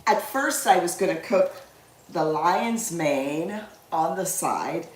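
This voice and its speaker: A woman's voice: on the low side, animated, slow pace, roomy sound. A woman, chatting casually with viewers as she cooks on a livestream, laughing easily. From Guyana. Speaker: livestream cooking host. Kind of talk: casual livestream cooking chat.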